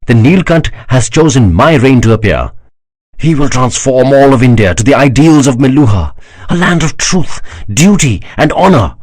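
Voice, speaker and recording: A man's voice: mid-range voice, sing-song, moderate pace, darkish tone, pitched above the performer's own voice. A man reading a proud, devout emperor who speaks with solemn courtesy and rising passion. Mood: fervent. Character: proud emperor, older man, fervent believer.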